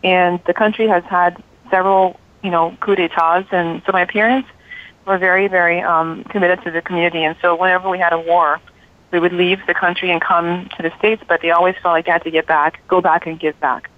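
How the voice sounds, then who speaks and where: A woman in her forties from Liberia, a radio show guest calmly telling her life story over the phone. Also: lowish voice, fairly quick. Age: 40s. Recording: tinny phone line.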